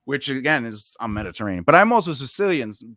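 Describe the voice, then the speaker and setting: A man's voice: mid-range voice, big pitch swings, quick pace, pushed and bright, no echo, clean studio sound. A man hosting a casual podcast chat, rambling with lots of fillers and restarts. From United States. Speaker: podcast host.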